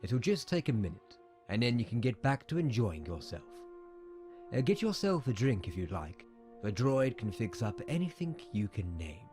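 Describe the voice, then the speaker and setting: A man playing a smooth, menacing corrupt politician in an audio comic, speaking with deliberate condescension. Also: mid-range voice, big pitch swings, natural voice. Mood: suave. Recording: music behind the voice.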